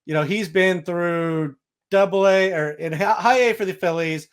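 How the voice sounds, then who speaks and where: A man's voice: high-pitched, moderate pace, clear, resonant tone. A man hosting a sports podcast, giving casual, matter-of-fact baseball analysis.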